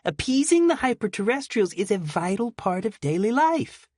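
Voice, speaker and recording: A man voicing an earnest, preachy young woman researcher with a breathy, thin voice in a storybook reading. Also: high voice, very animated, normal speed, darkish tone, dry recording, studio quality, voice pushed up. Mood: lecturing. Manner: lecturing, insistent.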